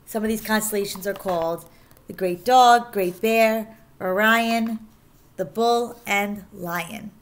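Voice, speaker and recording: A woman teacher reading a rhyming children's picture book aloud in an animated, sing-song way, stressing key words. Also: mid-range voice, slow.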